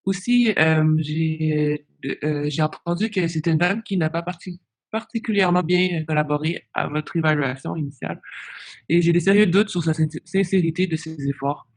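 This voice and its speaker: A man asking questions on a professional call, polite and halting as a case agent. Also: on the high side. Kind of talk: professional phone conversation, questioning.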